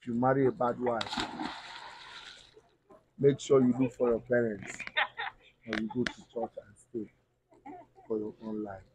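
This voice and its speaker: An actor playing a blind, resigned husband, speaking quietly and slowly. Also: male voice, medium pitch, little pitch movement, background noise, close to an everyday voice. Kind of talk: dramatic skit acting.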